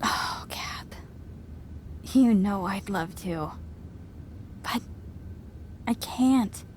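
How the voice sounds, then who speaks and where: A woman's voice: mid-range voice, drawn-out words, a little mellow, a little hiss or hum. An actress playing a teasing but caring female wrestling promoter and loyal friend in a video game cutscene. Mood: wistful.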